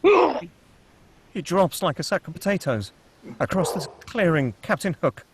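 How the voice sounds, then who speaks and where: Slightly high-voiced man narrating a script. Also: huge pitch swings, a little slow, gravelly, a little hiss or hum. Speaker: narrator reading stage directions. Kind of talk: scripted narration for a screenplay table read.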